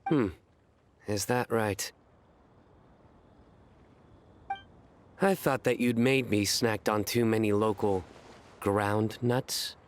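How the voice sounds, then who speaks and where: A man playing a solemn young adventurer in a video game, speaking in a formal, measured, deadpan way. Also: lowish voice, a little hiss or hum. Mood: deadpan joking. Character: deadpan, solemn young male adventurer who tells bad puns. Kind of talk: animated video game voice acting.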